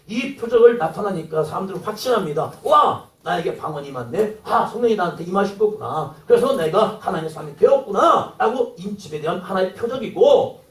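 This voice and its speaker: A man preaching from the pulpit with a high, animated voice that swings widely as he acts out quoted lines. He sounds set back from the microphone, and his words ring through an echoey church hall. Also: far from the mic. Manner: acts out quoted dialogue, rising to exclamations. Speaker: pastor. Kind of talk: sermon.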